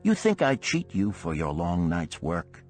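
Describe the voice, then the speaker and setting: A man's voice: deep voice, wildly swooping pitch, measured pace, darkish tone, soft background music, full character voice. A man voicing a stern, blunt old moneylender mentor who lectures and scolds emphatically in a storybook parable. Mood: solemn, instructive. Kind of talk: audiobook parable character dialogue.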